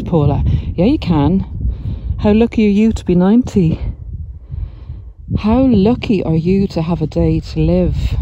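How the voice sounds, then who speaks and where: A woman's voice: lowish voice, muffled recording, noisy background. A middle-aged woman giving an emphatic, encouraging motivational talk to viewers, informally, like a coach out on a walk. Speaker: coach and vlogger. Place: outdoors on a hillside walking trail.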